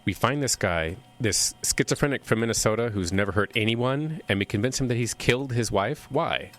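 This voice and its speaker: An actor playing a restrained, uneasy military officer in an audio drama, speaking in a measured, terse way. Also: male voice, mid-range voice, lively intonation, fairly bright tone, close to an everyday voice. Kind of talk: audio horror drama acting.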